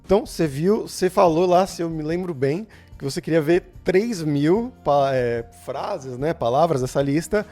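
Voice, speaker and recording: Young Brazilian host, Brazilian Portuguese accent, slightly high voice; casual, steers the chat with recaps and questions. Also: male voice, expressive, normal speed, hardly any pauses, mellow, soft background music. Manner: leads the talk, asks questions and recaps. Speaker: channel host.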